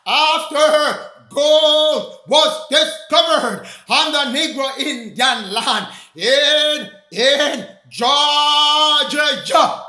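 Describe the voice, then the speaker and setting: Man, high voice, bright, fiery shouted preaching, sing-song, echoey room. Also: very slow.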